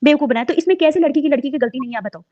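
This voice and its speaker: A woman on a live panel argues her point in a high voice at a quick clip. She is emphatic and repeats phrases for stress, through slightly muffled call audio. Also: no room sound. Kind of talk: animated group discussion. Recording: dull lo-fi online call audio.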